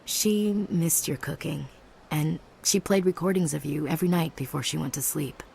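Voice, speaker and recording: An actress playing a sympathetic, sincere woman soldier in a video game, gentle and tentative. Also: sits low, normal speed, vocal fry, rough recording. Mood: consoling. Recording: faint noise and ambience.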